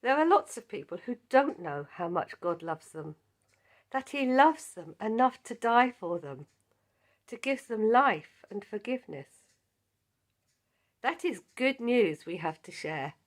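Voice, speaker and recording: A woman with a croaky voice leading a Bible study, speaking in a measured, earnest way. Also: on the high side, sing-song. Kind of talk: scripted Bible lesson.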